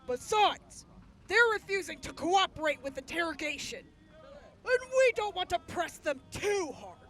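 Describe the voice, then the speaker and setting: A woman acting in an audio drama as a composed, courteous officer, speaking formally and in measured phrases. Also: very high-pitched, sing-song, fairly bright tone, heavily acted. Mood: measured, serious.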